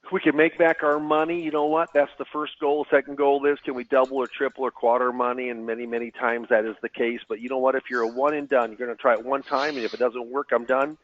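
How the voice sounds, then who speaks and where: A man who works as a financial advisor, speaking as a webinar guest, answering questions with a halting delivery full of repeated words. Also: medium pitch, level tone. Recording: tinny phone line.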